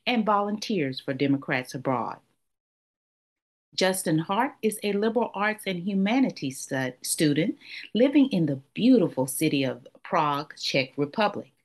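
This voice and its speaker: A woman with a low voice hosts a podcast. She reads guest introductions and poses questions in a measured, unhurried way. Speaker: podcast host. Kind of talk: reading guest introductions, then interviewing.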